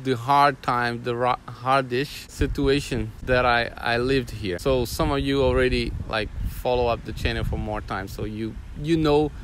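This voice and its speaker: A man with a Brazilian Portuguese accent hosts a casual interview, speaking haltingly as he searches for words. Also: mid-range voice, steady tone, fairly bright tone, slight background noise. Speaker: host.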